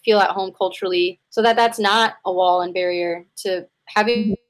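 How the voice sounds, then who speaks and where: A woman's voice: medium pitch, steady tone, moderate pace, rough recording. A woman hosting a casual chat, affirming her guests and using many "like" fillers. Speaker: host.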